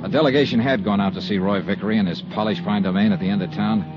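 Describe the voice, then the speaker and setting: An actor playing a brisk, wry insurance investigator in a 1950s radio detective drama, clipped and insistent as both narrator and character. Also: male voice, lowish voice, loud music behind the voice, lightly acted. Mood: dry narration. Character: brisk, defiant male insurance investigator, hard-boiled and wry. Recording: dull 1950s radio recording, crowd behind.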